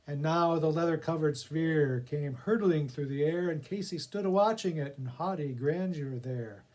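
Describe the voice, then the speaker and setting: A man's voice: on the high side, moderate pace, darkish tone. A man reading aloud for a library, moving between casual introduction and dramatic, emphatic recitation of verse. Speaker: poetry reader for a public library reading project.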